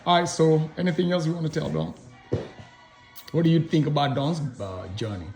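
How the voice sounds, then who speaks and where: A middle-aged man conducting an informal interview, speaking haltingly and rephrasing his questions as he goes. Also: on the high side, sing-song, over music. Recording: echoey room with people in background.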